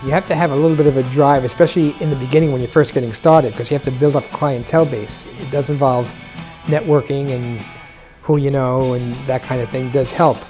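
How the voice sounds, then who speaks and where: Older new york guy, audio freelancer, warm mellow voice, easygoing and thoughtful, soft music under it. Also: mid-range voice. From New York City. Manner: relaxed, reflective, with pauses and fillers. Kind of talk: documentary interview. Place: living room indoors.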